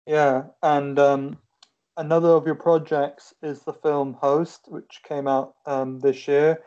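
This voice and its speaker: A man's voice: a little high, level tone, slow, darkish tone. A man interviewing an actor over a video call, asking questions with many hesitant fillers. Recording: dull lo-fi call audio.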